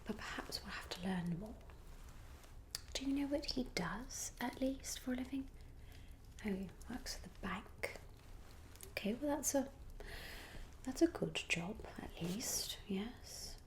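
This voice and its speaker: A woman voicing a genteel, gossipy Regency lady in a soft ASMR roleplay whisper, musing politely and hesitantly. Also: on the high side, lively intonation, a little slow, pauses often. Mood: polite, pondering. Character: genteel, gossipy young Regency lady planning a matchmaking guest list.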